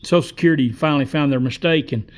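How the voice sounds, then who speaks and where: Guy in his 60s rambling thru a story, lots of uh pauses, folksy and easygoing. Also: fairly high pitch. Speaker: vlogger. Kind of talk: casual storytelling monologue.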